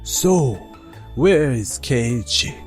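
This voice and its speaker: An actor plays an elderly male company chairman from an anime office drama. He speaks slowly at a medium pitch, stern, measured and full of authority, over faint music. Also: animated. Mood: calm. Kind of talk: anime-style manga dub acting.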